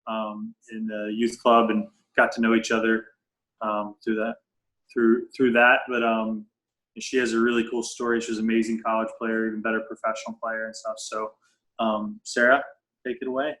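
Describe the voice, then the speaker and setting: A man, a coach, introducing a guest speaker on a video call in a halting, casual way. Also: fairly low pitch, level tone, a bit bright, low-quality recording. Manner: halting, with fillers and repeats.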